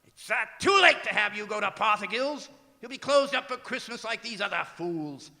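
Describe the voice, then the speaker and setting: A man's voice: high-pitched, animated, moderate pace, vocal fry, pushed and bright, exaggerated voice. A man acting a bitter, grumbling old miser in a radio drama, with theatrical, barking delivery. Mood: grudging irritation. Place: performing arts theatre stage. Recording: reverberant hall stage recording.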